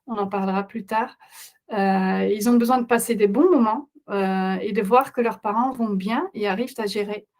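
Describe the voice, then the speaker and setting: A middle-aged woman who is not a native French speaker, presenting a parenting webinar as host with steady explanations and frequent hesitation fillers. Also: mid-range voice, normal speed.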